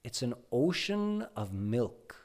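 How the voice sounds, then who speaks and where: A loving mother speaks softly and patiently to her little son, as a man storyteller voices her. Her tone is quiet and coaxing, at an unhurried pace. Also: medium pitch, big pitch swings. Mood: gentle. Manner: soft, gentle, patient coaxing.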